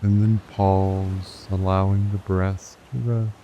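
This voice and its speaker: A man with a very deep, dark, mellow voice guides a sleep meditation, speaking slowly in a soothing, hushed way. The sound is a little dull and lo-fi, with faint natural ambience of waves and water behind him. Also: flat delivery, slight background noise. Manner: slow, soothing, hushed delivery. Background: soft ocean waves and stream.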